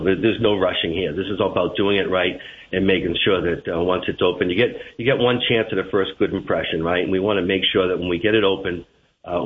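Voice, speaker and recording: A man's voice: low voice, slight background noise. A man with a Boston accent, a transit manager being interviewed on the radio, talking with lots of "uh"s and restarts. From Boston area. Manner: hesitant, many fillers and restarts. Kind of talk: radio interview over the phone. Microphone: phone line. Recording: tinny phone line.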